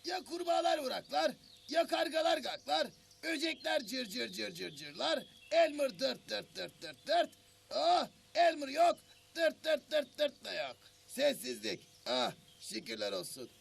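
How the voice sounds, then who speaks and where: A man's voice: extremely high pitch, expressive, a little slow, gravelly, slight background noise, exaggerated voice. A man voicing a grumpy, exasperated forest creature in a children's cartoon, complaining dramatically and repeating himself. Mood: weary grumbling.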